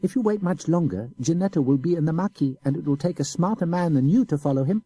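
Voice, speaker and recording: A man's voice: a little high, expressive, fast talker, mellow, slightly rough, voice pushed up. A man voicing a sly young boy who mocks the soldiers with a pert, sneering manner. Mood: sly mocking. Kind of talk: audiobook character voice.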